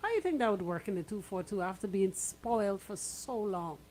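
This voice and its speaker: A woman's voice: mid-range voice, animated, fairly quick, a little mellow, a little hiss or hum. Woman hosting casual radio banter. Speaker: radio host.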